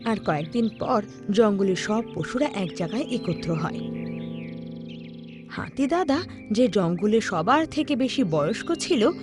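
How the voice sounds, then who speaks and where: A woman's voice: medium pitch, animated, measured pace, long pauses. A woman narrating a scripted children's cartoon story. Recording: background music under the voice.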